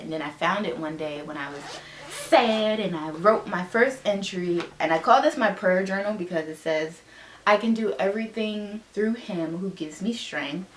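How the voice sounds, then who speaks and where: Young woman vlogger, stuffed-up nose, chatty and rambling, roomy echo, faint hiss. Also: lowish voice. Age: 20s. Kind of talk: casual show-and-tell chat. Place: her bedroom.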